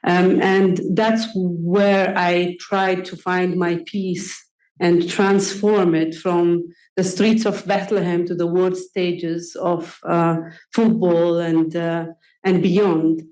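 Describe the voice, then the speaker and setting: A young adult woman from Palestine, speaking on a conference panel in an earnest, measured way with frequent pauses and ums. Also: lowish voice, flat delivery, a little mellow. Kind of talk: panel discussion answer. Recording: echoey conference room.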